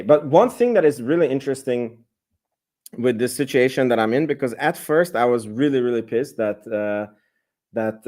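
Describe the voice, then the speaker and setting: A man hosts a casual chat and rambles with frequent restarts. His voice sits at a middle pitch. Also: a little mellow. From Hungary. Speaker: host.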